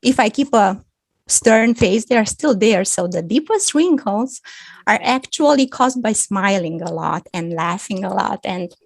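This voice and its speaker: A woman in her fifties from Romania, speaking with a Romanian accent as a guest on a video-call chat, thoughtful and quick to laugh. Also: mid-range voice, lively intonation, creaky, no echo. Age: 50s. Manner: slow, thoughtful, laughs often. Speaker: guest author. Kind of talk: casual video-call interview.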